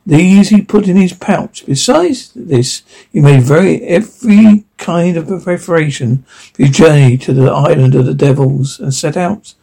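A man reads a fairy tale aloud with a husky, creaky voice. His tone is soft and dark, set a little higher than most men's. The delivery is halting, with stumbles over words. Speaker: story reader.

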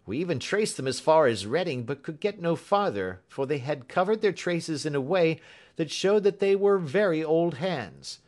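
A man's voice: fairly high pitch, lively intonation, normal speed, a little mellow, pitched above the performer's own voice, close to an everyday voice. A man voicing a hearty, eager police inspector in an audiobook, confident, jovial and emphatic. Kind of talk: audiobook character acting.

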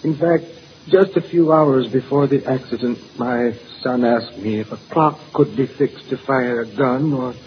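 A man's voice: medium pitch, unhurried, lightly acted. An actor playing an anxious, grieving middle-aged man in a 1940s radio drama, hesitant and earnest. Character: anxious, grieving father wrongly accused of murder. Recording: muffled, noisy old radio recording.

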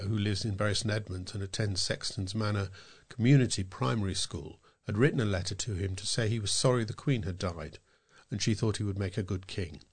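A man with a British English accent reading scripted local news and nature pieces aloud as a volunteer reader. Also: lowish voice.

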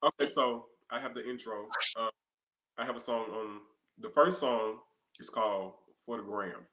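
A man's voice: lowish voice, moderate pace, sharp tone. A man in his thirties chatting casually as an interview guest, explaining his songs as a singer-songwriter. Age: 30s. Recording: roomy, echoey sound.

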